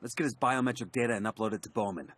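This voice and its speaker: An actor playing a businesslike special forces leader in a military game, clipped and commanding, over background noise. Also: male voice, medium pitch, racing, fairly bright tone, lightly acted. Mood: urgent, businesslike. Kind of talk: military game cutscene acting.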